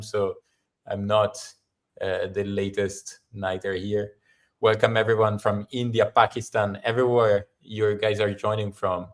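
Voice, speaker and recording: An Italian man from Milan co-hosting a live stream, chatting in an upbeat, welcoming way. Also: lowish voice. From Italy. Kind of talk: casual live-stream chat. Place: home in Bali, at night.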